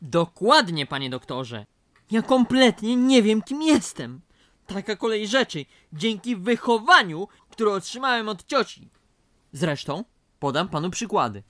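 A man's voice: sits high, very animated, a little slow, sharp tone, full character voice. A man playing an exasperated young painter in a radio comedy, complaining in an aggrieved, dramatic way. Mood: weary, complaining. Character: exasperated, whiny young male painter smothered by his aunt.

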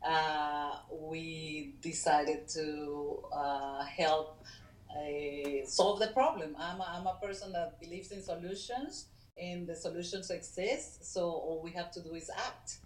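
A middle-aged woman from Venezuela, a guest co-founder of a foundation, speaking natively and talking in an earnest, halting way with many fillers. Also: sits low, roomy sound, low-quality recording. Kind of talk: casual interview talk.

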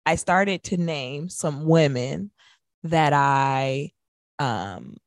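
A woman with a fairly low voice co-hosts a casual radio talk show. She speaks conversationally and puts her opinions forward. The sound is dry, with no room around it. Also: expressive, very slow, dry recording. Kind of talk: casual chat.

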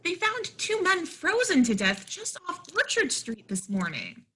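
A polite, easily affronted young woman, as an actress plays her on stage. Her voice is high and bright, swinging up and down as she talks. She comes across as prim and anxious. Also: huge pitch swings, unhurried, full character voice. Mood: prim, puzzled. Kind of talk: readers theatre stage-play acting.

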